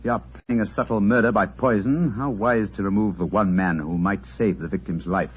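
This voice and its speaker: An actor playing a self-assured English detective in a 1940s radio drama, speaking incisively and urbanely with a British accent. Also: male voice, lowish voice, a little fast, husky. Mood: keen, assured. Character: Sherlock Holmes, brisk, keen, self-assured English detective. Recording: muffled old 1940s radio recording.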